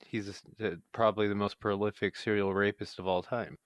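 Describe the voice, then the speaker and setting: A man's voice: lowish voice, little pitch movement, fairly quick, clean tone, no echo, studio quality. A man co-hosting a casual podcast, talking loosely and hesitantly with plenty of fillers. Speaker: podcast co-host.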